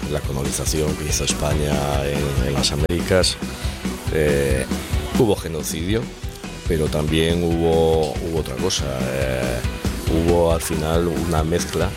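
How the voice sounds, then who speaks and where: Very low-voiced hesitant radio guest. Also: male voice. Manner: hesitant, many fillers, chuckles often. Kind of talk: casual radio chat. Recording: music playing under the voice.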